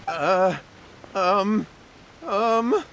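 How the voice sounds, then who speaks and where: A man's voice: high voice, very slow, creaky, a bit bright, a little hiss or hum, heavily acted. A man playing a nervous, scheming, cowardly young commoner in a video game, hesitant and pleading. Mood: flustered.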